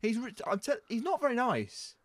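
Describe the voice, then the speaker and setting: A man's voice: very high-pitched, very animated, normal speed, fairly bright tone, no room sound. A man co-hosting a podcast in casual, playful banter with mock-indignant teasing. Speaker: podcast host.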